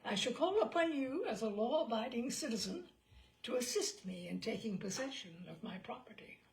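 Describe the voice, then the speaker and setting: A woman voicing a suave, courteous and menacing devil in gentleman's form for a storybook reading. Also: a little high, lively intonation, moderate pace, gravelly. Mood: smug, courteous. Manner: courteous, careless, formal. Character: suave, polite devilish stranger, dark, smug and menacing.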